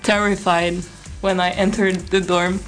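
Flat-voiced young woman from France. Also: medium pitch, measured pace, faint music behind, low-quality recording. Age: young adult. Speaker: guest, student. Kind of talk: casual chat.

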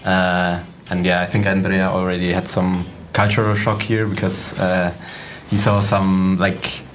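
A man's voice: low-pitched, monotone, a little slow, slight background noise. A man in his twenties from Germany, an exchange student, talking hesitantly with many fillers. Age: 20s. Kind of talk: casual chat.

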